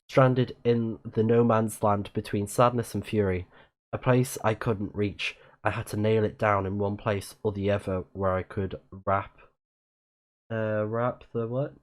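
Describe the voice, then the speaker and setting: A man with a lowish voice hosts a casual game livestream. He reads on-screen text aloud, sometimes tripping over a word and correcting it, then drops back into relaxed play-along commentary. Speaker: livestreaming gamer and channel host.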